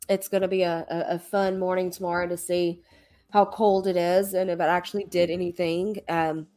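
A woman's voice: fairly low pitch, a little mellow. A woman from Alabama greets her online congregation, her voice a little nasal and congested from a cold. She talks in a loose, chatty way, stopping and restarting her sentences. Faint music plays in the background. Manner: chatty, with frequent ums and restarts. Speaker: ministry leader hosting a livestream. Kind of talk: casual livestream preaching.

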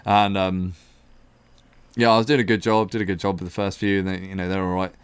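A young man with a lower-than-usual pitch and a clean, resonant voice. He chats casually on a podcast, animated as he recounts an anecdote. Quoted lines pop up higher as he voices the people in the story. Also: a little fast, clear, resonant tone, no echo. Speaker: podcast co-host.

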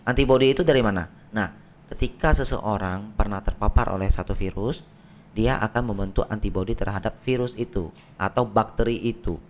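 A man, a doctor, explaining medical tests on a podcast in a patient, plain way with everyday analogies, his voice slightly hoarse. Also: lowish voice, fairly quick, slight background noise. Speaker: doctor guest. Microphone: podcast microphone.

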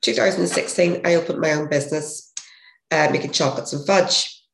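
A woman with a gravelly, hoarse voice, a podcast guest telling a rambling story and correcting herself as she goes. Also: low-pitched, a little fast. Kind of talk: casual interview storytelling. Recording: echoey room.

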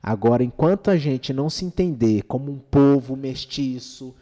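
A man's voice: medium pitch, moderate pace, dark tone. A man with a Brazilian accent speaking casually and with opinion in podcast talk, slangy and full of rhetorical check-in questions. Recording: reverberant hall-like room.

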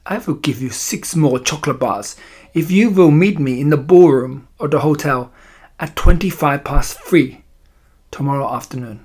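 A man's voice: a little high, darkish tone, breathy edge. Man putting on an imperious, malevolent witch. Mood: sneering. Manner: slow, emphatic, broken grammar. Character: imperious, malevolent female Grand High Witch plotting against children.

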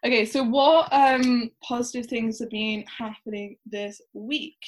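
A woman in her twenties co-hosting a podcast, upbeat and quick to laugh. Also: on the high side, steady tone, a little hiss or hum, rough recording. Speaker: podcast co-host. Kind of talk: casual chat.

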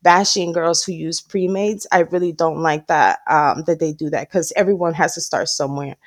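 A chatty woman talking shop as a guest on a podcast, with a lowish voice. She slips into little voices when she quotes people and laughs easily. The recording is close and dry, with no room sound. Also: normal speed, no echo. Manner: chatty, acts out quoted dialogue, laughs often. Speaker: lash artist and trainer, podcast guest. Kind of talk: casual interview chat. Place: based in Tampa.

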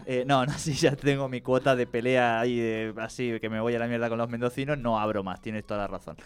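A man's voice: medium pitch, steady tone, fairly quick, smooth. A man hosting a radio talk show in a chatty, rambling way, restarting words and laughing often. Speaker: radio host. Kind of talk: casual radio chat.

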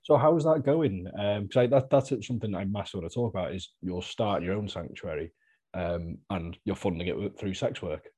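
A man hosting a podcast, chatty and relaxed, with lots of fillers and easy laughs. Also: low voice, fairly quick, breathy edge. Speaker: podcast host. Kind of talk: casual interview chat.

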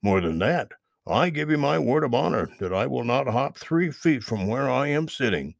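A grumpy old storybook toad, put on by a man reading aloud, gruff and curt with a gravelly, grunting voice. He speaks slowly and heavily, and the sound is dry and close. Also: mid-range voice, expressive, dry recording, pitched above the performer's own voice. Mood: sly.

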